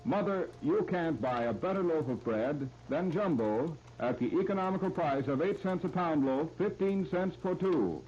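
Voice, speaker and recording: A man's voice: a little high, expressive, normal speed, few pauses, a little mellow. A man reading a scripted commercial as a 1930s radio announcer. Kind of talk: 1930s radio advertising read. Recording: muffled, hissy 1939 radio disc recording.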